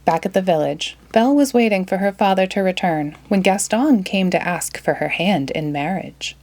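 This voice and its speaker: Expressive woman reading a storybook aloud. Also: medium pitch, moderate pace. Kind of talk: children's storybook read-aloud.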